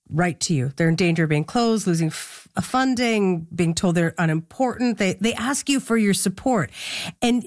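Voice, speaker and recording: A woman's voice: medium pitch, darkish tone, no echo. Dry, close-sounding female interviewer. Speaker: interviewer, host. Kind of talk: conversational long-form interview questioning.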